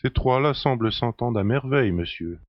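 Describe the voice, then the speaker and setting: A man's voice: mid-range voice, animated, moderate pace, no echo, dull audio, natural voice. A man playing a deferential, discreet household valet in a French audio drama, polite and restrained.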